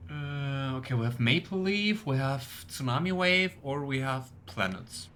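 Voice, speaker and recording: A man's voice: mid-range voice, lively intonation, measured pace, sharp tone, slight background noise. Chatty man commenting on a game. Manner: chatty, curious, thinks aloud. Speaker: let's play streamer. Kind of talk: casual gameplay commentary.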